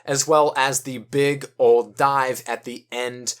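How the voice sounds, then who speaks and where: A man giving video essay commentary on games and film, speaking emphatically with drawn-out key words and pauses. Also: medium pitch, fairly even intonation, clean tone.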